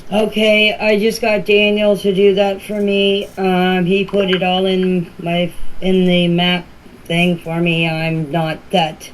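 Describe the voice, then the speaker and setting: Older woman, noisy voice message. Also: lowish voice. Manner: rambling, plain-spoken, repeats herself. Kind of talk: casual personal voice messages to a group chat. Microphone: phone voice messages.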